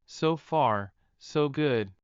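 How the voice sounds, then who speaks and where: A male actor plays a mild, earnest writer in an audio drama, with an exceptionally clean, smooth voice at middle pitch. He sounds polite and considerate, recorded dry and a little dull. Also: extremely slow, no echo.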